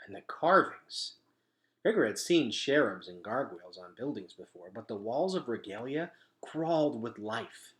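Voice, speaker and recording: A male storyteller reads from a children's novel. His mid-pitched voice is bright and crisp in attack, and his expressive phrasing swings widely up and down. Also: moderate pace. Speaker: read-aloud storyteller. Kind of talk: animated children's book reading.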